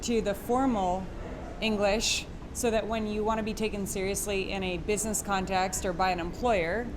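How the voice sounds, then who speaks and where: A woman's voice: medium pitch, background noise. A woman from Quebec whose first language is French, hosting a course in a chatty, informal way with frequent fillers. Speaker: course host. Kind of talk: conversational instructional chat.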